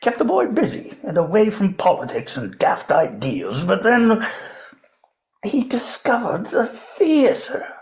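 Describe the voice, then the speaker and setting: A man acts an elderly colonial plantation owner in a radio play. His voice sits fairly high and swings widely in pitch. He is genteel and pompous, hesitating and rambling courteously. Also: huge pitch swings, slow, full character voice. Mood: exasperated. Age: older.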